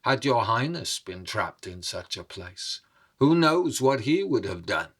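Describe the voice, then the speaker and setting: A man voicing an unrepentant old male thief in a storytelling performance, deliberate and pleading as he addresses a king. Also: mid-range voice, sing-song, a bit bright, husky, heavily acted. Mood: pleading, grim. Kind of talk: dramatic oral storytelling.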